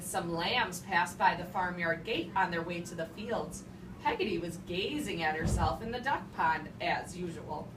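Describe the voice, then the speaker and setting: A woman's voice: fairly low pitch, distant mic. Echoey woman teacher in a noisy classroom. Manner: simple repetitive phrasing with emphasized key words. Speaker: early childhood teacher. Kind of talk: classroom read-aloud with instructions to young children. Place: classroom with young children. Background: young children talking, room noise.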